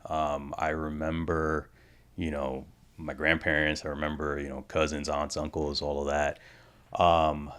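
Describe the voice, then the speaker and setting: A man's voice: extremely low pitch, creaky. A man in his thirties, born in the Dominican Republic, talking candidly as a podcast guest, reflective and full of "you know" fillers. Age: 30s. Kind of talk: candid conversational interview.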